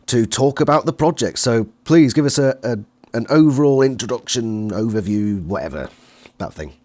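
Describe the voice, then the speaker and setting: Man, British accent, mid-pitched voice, interviewer, casual conversational hosting. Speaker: interviewer, channel host.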